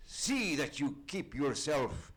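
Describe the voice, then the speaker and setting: An actor playing a bullying, threatening middle-aged doctor in an old-time radio play, theatrical and emphatic. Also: male voice, fairly high pitch, sing-song, slow, fairly bright tone, exaggerated voice. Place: live on a theatre stage.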